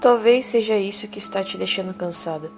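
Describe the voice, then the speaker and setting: A woman acting a calm therapist in an audio drama, asking gentle probing questions over music. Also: mid-range voice, brisk, lightly acted.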